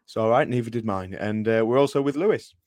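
A man's voice: lowish voice, fast, darkish tone, no room sound. A man hosting a casual football podcast, rambling with restarts and fillers. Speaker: podcast host.

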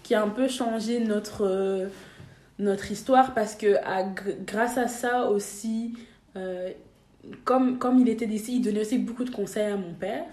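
A woman's voice: medium pitch, steady tone, a little slow, a little mellow, reverberant. A young woman from Belgium, a podcast guest talking casually, hesitating with many fillers and restarts. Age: young adult. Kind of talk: casual interview.

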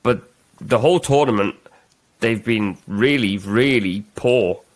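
A man's voice: on the low side, a little slow. A man hosting a football podcast, asking guests questions in an upbeat, emphatic way. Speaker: podcast host. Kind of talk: casual sports chat.

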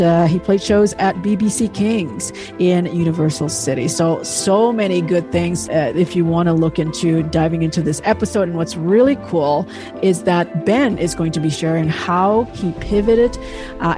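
Enthusiastic podcast host over music. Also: mid-range voice. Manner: enthusiastic, hypes guests with frequent fillers. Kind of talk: upbeat podcast intro. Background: background music.

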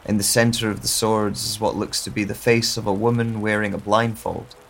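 A man narrating a first-person audio drama in a slow, hushed, introspective way, over a music score and the sound of rain. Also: on the low side, flat delivery, gravelly, a little hiss or hum.

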